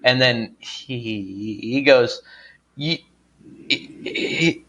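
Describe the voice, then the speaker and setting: Man with a pronounced stutter, bright voice. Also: mid-range voice. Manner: halting, repeats sounds and words, chuckles while talking. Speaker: guest stand-up comedian. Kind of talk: casual comic storytelling on a podcast.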